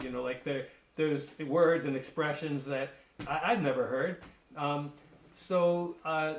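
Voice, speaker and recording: A middle-aged man, a translator, answering questions on a video call in a thoughtful way, often restarting as he searches for words. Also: on the high side. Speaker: literary translator and poet. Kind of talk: answering questions at an online reading. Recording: echoey, dull lo-fi call audio.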